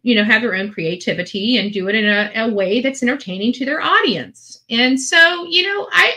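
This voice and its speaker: A woman's voice: on the high side, expressive, normal speed, pushed and bright. A woman host talking casually and ramblingly to her online followers, earnest and emphatic, often repeating phrases for effect. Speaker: online video channel host.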